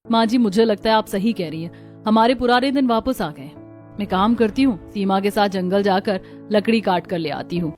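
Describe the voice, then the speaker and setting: A woman's voice: medium pitch, normal speed, darkish tone, soft background music, natural voice. A woman voicing village women of a poor household in a cartoon story. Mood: worried. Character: village women of a poor family, daughters-in-law and mother-in-law.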